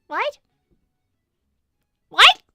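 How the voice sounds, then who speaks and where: A woman voicing an excitable, easily exasperated girl hedgehog in an improvised cartoon roleplay, insistent and repeating herself. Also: drawn-out words, dry recording, clean studio sound, faint music behind, exaggerated voice. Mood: confused. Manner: insistent, repetitive, frantic. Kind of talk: improvised in-character livestream roleplay.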